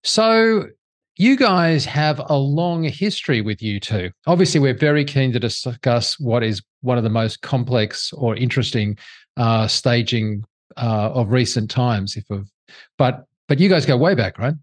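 A man co-hosting a podcast, asking questions eagerly with quick bursts of speech. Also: medium pitch. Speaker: co-host, interviewer. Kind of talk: conversational interview.

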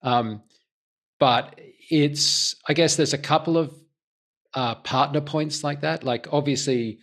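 A man's voice: fairly high pitch, steady tone, slow pace, a bit bright. A man hosting a podcast interview in a casual, informal way, thinking aloud and rephrasing his questions as he goes.

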